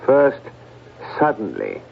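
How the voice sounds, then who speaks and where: An actor playing a skeptical psychiatrist in a radio drama, questioning in a pointed, measured manner. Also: male voice, fairly low pitch, huge pitch swings, vocal fry, a little hiss or hum, heavily acted. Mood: probing, analytical. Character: probing, skeptical male psychiatrist. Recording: muffled old radio broadcast.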